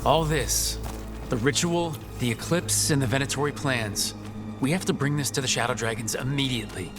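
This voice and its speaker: An actor playing an earnest, level-headed rebel hero in a video game, speaking in a straightforward manner. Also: male voice, mid-range voice, normal speed, over music, lightly acted. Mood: serious, questioning. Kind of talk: video game dialogue acting.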